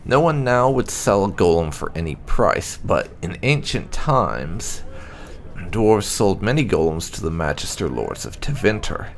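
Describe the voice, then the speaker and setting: Male narrator for fantasy codex readings, deep pitch, gravelly edge, music underneath. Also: sing-song, unhurried. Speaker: gaming channel host. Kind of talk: scripted narration, reading lore entries aloud.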